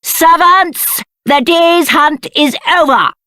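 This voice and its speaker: High-voiced, pompous spoiled young prince. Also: male voice, takes it slowly, pushed and bright, no echo, studio quality, full character voice. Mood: haughty, scolding. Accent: British. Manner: haughty, whiny, aristocratic diction. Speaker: video game voice actor. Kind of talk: theatrical video game character acting.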